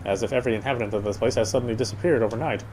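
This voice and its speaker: A man's voice: mid-range voice, racing, a little mellow, slight background noise, poor audio. A man reading a horror game's story aloud as dramatic narration, sometimes stumbling and rereading phrases. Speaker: game player reading story text aloud. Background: game music.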